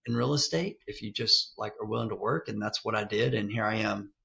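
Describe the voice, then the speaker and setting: A man's voice: lowish voice, brisk, creaky, bright, forceful tone. A man of fifty telling his story as an interview guest, loose and candid, often saying "like" and "man". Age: 50s. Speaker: real estate investor guest. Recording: dull lo-fi sound.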